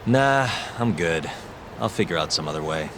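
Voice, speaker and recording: A man playing a laid-back, deadpan private detective in a video game dub. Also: sits low, normal speed, background noise. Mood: casual.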